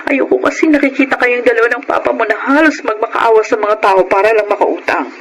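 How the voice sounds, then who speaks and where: A woman's voice: a little high, steady tone, quick pace, a bit bright, lightly acted. A woman with a Filipino accent playing an earnest, emotional mother of a struggling family in a radio drama. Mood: weary resolve. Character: worried, earnest mother resolved to rescue her struggling family. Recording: noisy radio drama recording.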